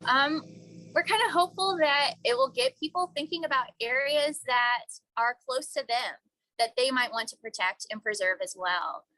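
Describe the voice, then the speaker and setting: Ranger lady talking up her park, lots of "kind of", bright voice close and dry. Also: high-pitched, normal speed, dry recording, poor audio. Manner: upbeat, hedging with "kind of" and "um". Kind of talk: casual interview answers.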